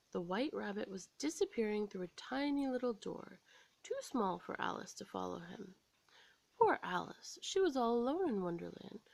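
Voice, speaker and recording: An American woman storyteller reading a scripted children's story aloud in an expressive, dramatic way. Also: medium pitch, vocal fry, dry recording.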